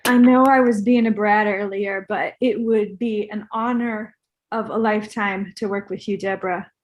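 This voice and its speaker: A woman's voice: mid-range voice, fairly even intonation, normal speed, natural voice. A woman reading a bratty, clueless young male movie star in a comedy script, with a casual, naive delivery. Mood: earnest. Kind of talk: comedy script table read.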